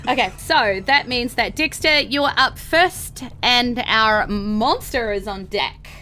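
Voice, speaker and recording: A woman with a bright, forward voice runs a tabletop role-playing game. She explains things informally and haltingly, feeling her way through the narration, with faint music underneath. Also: on the high side. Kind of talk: unscripted game narration, casual.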